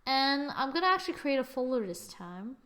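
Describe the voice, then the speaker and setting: A woman with a slightly high voice talks through a screen-recorded software tutorial at her computer. She thinks aloud, corrects herself often and tosses in small exclamations as she works. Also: big pitch swings, fairly quick. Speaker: tutorial host.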